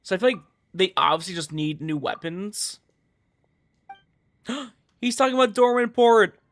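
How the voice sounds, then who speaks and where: A young man livestreaming a game, chatting casually in a rambling, halting way with animated emphasis. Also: high-pitched. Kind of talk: casual livestream chat over gameplay.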